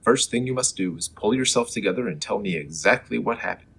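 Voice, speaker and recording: A man playing an earnest, matter-of-fact superhero disguised as a mild-mannered reporter in an old-time radio comedy, with a husky voice. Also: fairly low pitch, a little fast, fairly bright tone, heavily acted. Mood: earnest, concerned. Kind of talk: old-time radio serial parody acting.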